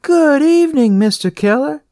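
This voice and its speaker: A man acts out a big motherly nurse in an audio story. The voice is pitched high and swoops about, exclaiming with delight and showering endearments. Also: expressive, normal speed, darkish tone, dry recording, studio quality. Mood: delighted, gushing. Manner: effusive, exclaiming, full of endearments.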